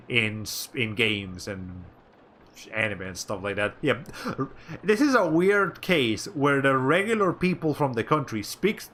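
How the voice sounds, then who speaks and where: A man's voice: medium pitch, fairly bright tone. A man from Latin America streaming a game, chatting casually in a rambling, self-correcting way with wry asides. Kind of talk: casual chat while playing a game.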